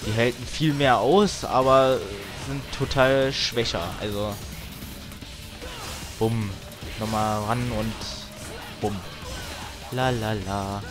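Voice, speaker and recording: A man's voice: mid-range voice, steady tone, slow, pauses often. A man giving casual, grumbling let's play commentary as he games, with some tongue clicking. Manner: grumbling, mild swearing. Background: video game music.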